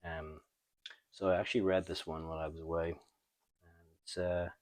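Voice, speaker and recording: Very deep-voiced man, low-key and monotone. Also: moderate pace, dry recording. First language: not French. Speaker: host of a comic book review show. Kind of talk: casual unscripted show-and-tell commentary.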